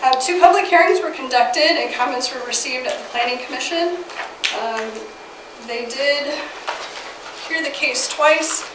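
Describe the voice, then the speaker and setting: A woman with a Midwestern American accent, a city planning staffer getting over a cold, giving a steady, informative staff report to a council. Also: high voice, a little slow. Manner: informative, steady briefing with fillers. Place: council chamber. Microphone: far from the mic. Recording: echoey, distant, noisy chamber audio.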